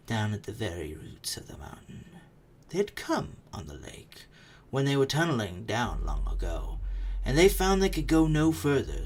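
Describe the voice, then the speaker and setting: A storybook narrator with a mid-pitched voice that swings widely for drama. The reading is expressive and suspenseful, dropping to a hush at phrase ends, with faint noise behind it.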